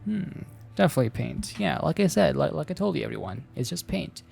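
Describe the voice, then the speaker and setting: A man's voice: fairly high pitch, expressive, brisk, vocal fry, darkish tone, slightly rough, soft background music. A man reading a visual novel aloud as host, with theatrical narration and joking asides.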